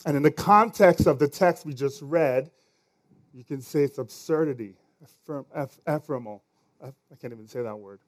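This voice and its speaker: A man's voice: mid-range voice, moderate pace. A man with a Nigerian accent preaching a sermon as pastor, conversational and now and then stumbling over words.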